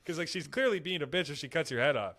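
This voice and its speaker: Young male podcast co-host, a touch high-pitched, profane storyteller. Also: animated, quick pace, fairly bright tone. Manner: rambling, profane, storytelling with quoted voices. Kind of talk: casual crude banter.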